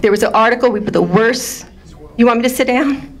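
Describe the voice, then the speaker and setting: A woman's voice: a little high, brisk. Lady at the town council mic, big confrontational voice, pushing for answers. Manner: loud, confrontational, demanding answers. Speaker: resident speaking at public comment. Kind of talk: public comment at a council meeting. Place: township council meeting room.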